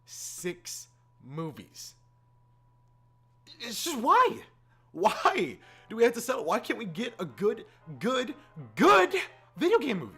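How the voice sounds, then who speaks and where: A man's voice: very high-pitched, wildly swooping pitch, slow pace, creaky, pauses often, fairly bright tone, slightly rough. A man hosting a casual opinion rant, exasperated and informal, repeating words for emphasis. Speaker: YouTube host. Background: background music.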